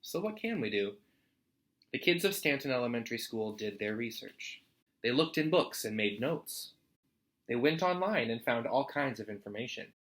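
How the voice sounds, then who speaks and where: Bright-voiced American man reading to children. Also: medium pitch, animated, moderate pace. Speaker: storytime host reading a children's picture book aloud to students. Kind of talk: expressive read-aloud for children.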